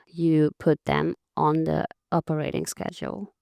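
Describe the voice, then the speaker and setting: A woman's voice: low-pitched, little pitch movement, measured pace, gravelly, dark tone, dry recording. A young woman, a medical student carefully explaining her research in an interview. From Germany. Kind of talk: interview answers.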